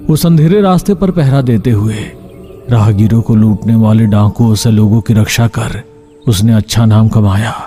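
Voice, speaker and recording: Low-voiced male folk-tale narrator. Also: moderate pace, dark tone, soft background music. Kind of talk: scripted dramatic storytelling for animated folk tale.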